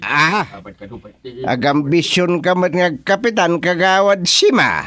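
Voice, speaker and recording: A man with an Ilocano accent playing an authoritative middle-aged village chairman in a radio comedy-drama, speaking in a deliberate, speechifying way. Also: sits high, expressive, sharp tone, full character voice. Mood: measured, authoritative.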